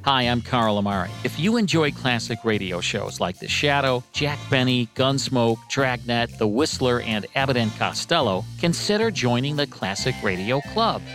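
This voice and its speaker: A man hosting a classic radio program, reading a scripted club advertisement in an upbeat pitchman manner. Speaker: host reading an ad. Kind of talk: scripted ad read.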